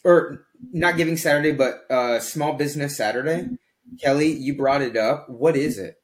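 A man's voice: mid-range voice, normal speed. Chatty man in his thirties. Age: 30s. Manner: chatty, rambling with fillers. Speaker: podcast co-host. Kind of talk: casual chat.

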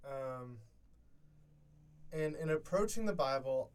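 A man in his twenties co-hosting a casual podcast from a college dorm room, easygoing and quick to laugh, with many fillers. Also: medium pitch, lively intonation, clean tone. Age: 20s. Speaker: podcast co-host. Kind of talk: casual chat.

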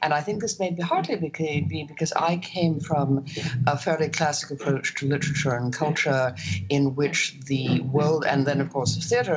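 A woman speaking as a producer on a discussion panel, articulate, formal and academic in her phrasing, with a husky voice. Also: low voice, poor audio. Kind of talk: thoughtful roundtable discussion.

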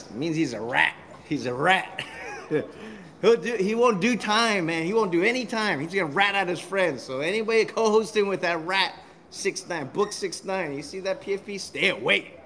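Brash tipsy man, bright and high. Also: lively intonation, moderate pace, slight background noise. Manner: profane and brash, rambling. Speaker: caller. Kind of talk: rowdy banter.

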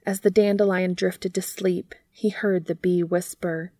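A woman reading a story aloud in gentle, unhurried scripted narration. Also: lowish voice, flat delivery, no room sound, studio quality.

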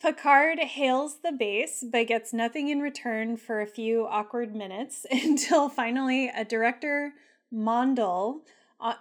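A woman with a slightly high voice co-hosts a casual podcast. She is playful and teasing and laughs often as she recaps the plot. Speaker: podcast co-host. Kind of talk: casual chat and episode recap.

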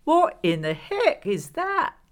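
A woman's voice: high voice, sing-song, a little slow, pitched above the performer's own voice. A woman voicing a brash, bossy, easily scandalized female neighbour in an audiobook, with an emphatic, pushy delivery. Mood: indignant, scandalized.

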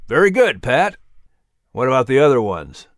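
A man's voice: medium pitch, big pitch swings, moderate pace, dry recording, clean studio sound, natural voice. A man voicing a patient uncle who explains the woods like a teacher.

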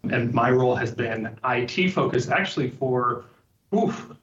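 A man's voice: medium pitch, lively intonation, unhurried, a bit bright. A middle-aged man from Liberia, a podcast guest, answering thoughtfully and linking his ideas with "and so". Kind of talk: interview answers. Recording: distant, roomy, dull remote call audio.

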